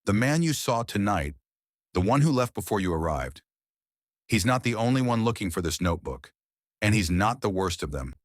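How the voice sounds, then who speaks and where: A man's voice: on the low side, sing-song, moderate pace, dry recording, studio quality. A man voicing a secretive former lover who gives clipped, urgent warnings.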